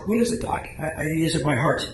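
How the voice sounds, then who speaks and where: A man's voice: a little high, lively intonation, a little fast, close to an everyday voice. A man playing an anxious, health-worried office worker in a radio play, hesitant and stammering. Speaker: amateur radio drama actor. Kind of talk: live radio play reading. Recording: echoey room, faint background noise.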